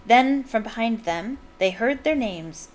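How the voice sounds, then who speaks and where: A woman's voice: on the high side, expressive, unhurried. A young adult woman reading a novel aloud as a fan host, breaking off for giggly, enthusiastic comments. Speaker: book reader and fan channel host.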